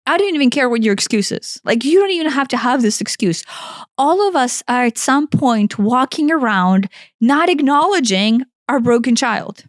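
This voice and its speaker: Woman, 40s, Ukrainian accent, podcast co-host; high voice, dry close sound, blunt and emphatic. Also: animated, normal speed, dry recording. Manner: emphatic, blunt, swears freely. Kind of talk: impassioned self-help talk.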